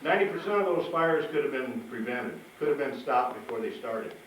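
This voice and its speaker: A man's voice: mid-range voice, lively intonation, brisk. A man hosting a fire safety show for children as a firefighter, chatty, folksy and plain-spoken, repeating himself and putting questions to the audience. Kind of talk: live presentation to kids, chatty and folksy. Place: live show at a fire station. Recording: echoey, distant mic, noisy live show.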